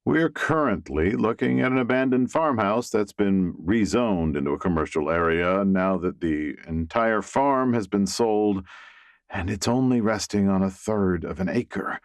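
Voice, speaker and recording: A man's voice: sits low, expressive, unhurried, no room sound, studio quality. A man voicing an anxious, dismayed young woman with an earnest, emotive delivery in an audiobook.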